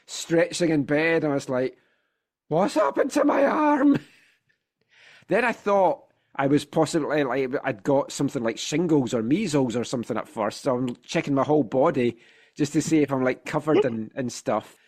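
A man's voice: on the high side, lively intonation, moderate pace, darkish tone. A Scottish man hosting a football podcast in casual chat, telling things with wry animation, slightly congested from a sinus infection. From Scotland.

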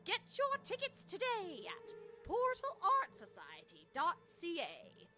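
A woman's voice: very high-pitched, huge pitch swings, takes it slowly, sharp tone, faint music behind. A woman reading a playful Halloween-themed radio promo in a theatrical, teasing way.

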